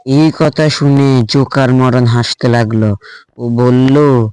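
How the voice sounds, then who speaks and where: A man's voice: medium pitch, steady tone, slow, a little mellow, clean tone, dry recording. A man narrating a children's cartoon as a scripted story.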